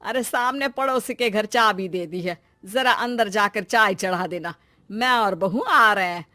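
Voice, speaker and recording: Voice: medium pitch, a little slow, bright, forceful tone, no echo, studio quality. An actor playing a fussy but caring older mother-in-law in a cartoon family drama. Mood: chatty, fussing.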